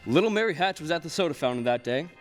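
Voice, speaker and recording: A man's voice: fairly high pitch, lively intonation, very fast, soft background music, lightly acted. A man playing the storyteller, narrating a scripted stage radio play. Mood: explanatory. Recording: reverberant hall stage recording.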